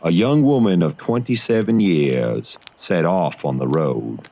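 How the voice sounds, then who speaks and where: A man's voice: sits low, lively intonation, measured pace, gravelly, dark tone, heavily acted. A man playing a long-lived, solemn, gracious storyteller narrating a gothic horror tale for a fiction podcast. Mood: ominous, measured. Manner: folksy, formal, courteous address.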